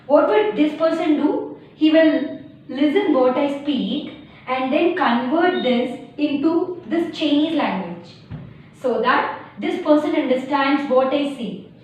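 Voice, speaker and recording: A woman's voice: high voice, animated, takes it slowly. A young woman with a Telugu-influenced Indian English accent, teaching beginner programming with everyday analogies, many fillers and restarts. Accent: Indian English, Telugu-speaking. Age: young adult. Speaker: tutor. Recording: distant, very echoey room, dull lo-fi sound, faint hiss.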